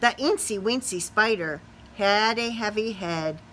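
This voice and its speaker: A middle-aged woman teacher reading a children's storybook aloud in a sing-song, expressive way, putting questions to young listeners. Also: mid-range voice, a little slow, a little hiss or hum. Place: small classroom or office, indoors.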